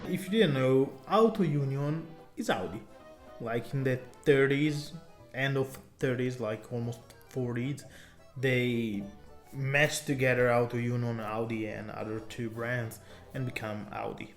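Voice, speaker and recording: A man's voice: mid-range voice, a little slow, soft background music. A man from Italy with an Italian accent, chatting enthusiastically to camera about cars in loose non-native English. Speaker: car vlogger. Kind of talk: casual talk to camera.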